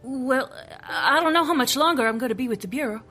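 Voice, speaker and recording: An actress playing a weary, skeptical female FBI agent in an audio drama, speaking haltingly and with open feeling. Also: sits high, expressive, fairly bright tone, faint music behind. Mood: uncertain, tentative.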